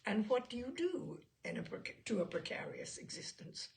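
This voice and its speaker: Woman reading as courtroom questioner, formal and probing, gravelly voice, lively intonation, humor story. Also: a little high, normal speed, a little hiss or hum. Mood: brisk, probing. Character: formal, methodical examiner questioning an expert witness.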